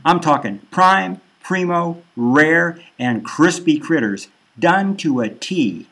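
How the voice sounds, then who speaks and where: A man voicing a pushy, chummy virtual pub buddy who talks in hyped, hard-selling pitchman patter. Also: animated, slow, a bit bright, full character voice. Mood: eager, hard-selling.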